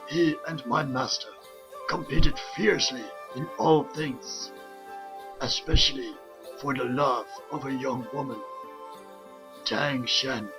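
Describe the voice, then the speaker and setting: A man voicing a wise old rat sensei and father, telling a story in a measured, grave, formal manner for a fan voice comic. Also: medium pitch, fairly even intonation, rough recording. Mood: grave, reminiscing. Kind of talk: dramatic comic-book storytelling. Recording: music under the voice. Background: clear background music.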